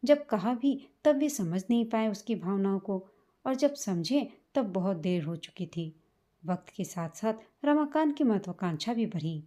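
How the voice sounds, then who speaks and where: A woman reading a scripted, sentimental family story as a storyteller, in a measured manner. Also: mid-range voice, animated, warm tone. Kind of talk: scripted emotional story narration in Hindi.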